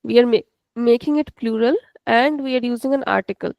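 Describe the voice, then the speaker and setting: A woman's voice: fairly high pitch, brisk, creaky, no room sound, lo-fi sound. A woman with an Indian English accent teaching an online language class, explaining patiently and repeating each point step by step.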